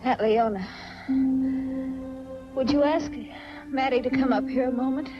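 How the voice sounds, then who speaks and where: An actress playing a drowsy, uneasy young niece in 1940s film style, with a languid, hesitant delivery. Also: female voice, sits high, measured pace, music in the background. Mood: frightened, pleading. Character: drowsy, frightened young niece trapped with a killer. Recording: dull 1940s film soundtrack from VHS. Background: prominent film score.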